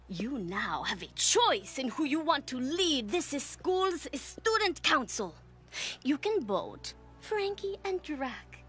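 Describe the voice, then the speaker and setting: A woman playing a scornful teenage zombie villainess in a cartoon, with a mocking, theatrical, drawn-out delivery. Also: high voice, pushed and bright, full character voice. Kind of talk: animated cartoon acting.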